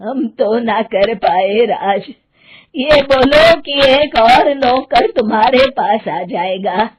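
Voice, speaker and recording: Elderly henpecked husband played by a woman, sardonic cartoon grumbler, dragging delivery, lo-fi recording. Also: fairly high pitch. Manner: slow, drawn-out, grumbling. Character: weary, sardonic elderly husband, long-suffering village father.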